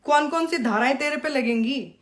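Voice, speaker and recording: A high-pitched woman speaks in a confrontational, scolding rant aimed directly at someone. She taunts with sharp rhetorical questions and lectures as she goes. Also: moderate pace. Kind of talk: angry direct-address rant to an opponent.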